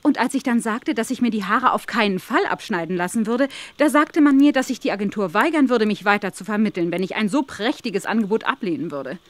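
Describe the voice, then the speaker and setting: A woman's voice: mid-range voice, expressive, fairly quick, few pauses, no room sound, lightly acted. An actress playing an uneasy, earnest and polite young woman in a German radio play. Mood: anxious, earnest. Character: anxious, polite young woman seeking a position.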